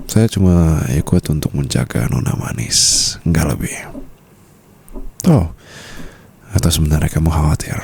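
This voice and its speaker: A man playing a possessive, doting mafia boss husband in an intimate ASMR roleplay, teasing with endearments in a breathy, gravelly voice. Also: darkish tone, a little hiss or hum, full character voice. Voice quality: very deep, gravelly. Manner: slow teasing endearments, often slipping into breathy whispers. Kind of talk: ASMR boyfriend roleplay, intimate scripted monologue.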